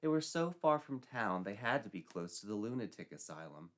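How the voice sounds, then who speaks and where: A man's voice: fairly low pitch, very animated, a little fast, a bit bright. A man narrating a scripted comic tale in a theatrical, arch, mock-dramatic manner. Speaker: storyteller, narrator.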